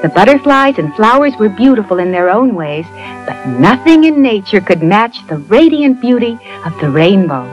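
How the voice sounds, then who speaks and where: A woman narrating a scripted children's fairy tale in an expressive storytelling manner. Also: mid-range voice, normal speed. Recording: muffled lo-fi audio, faint music behind.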